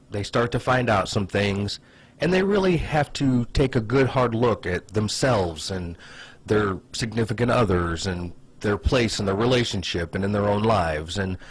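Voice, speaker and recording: A man's voice: on the low side, animated, a little slow, slight background noise, low-quality recording. A man giving casual comedic commentary in a conversational way, with hesitant asides and plenty of "like". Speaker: online video host.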